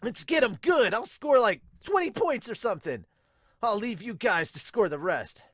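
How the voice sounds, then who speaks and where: A man voicing a cocky, eager teenage volleyball player in a manga dub, brash and shouting. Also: high-pitched, big pitch swings, moderate pace, no echo, studio quality, pitched above the performer's own voice, heavily acted. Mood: fired up.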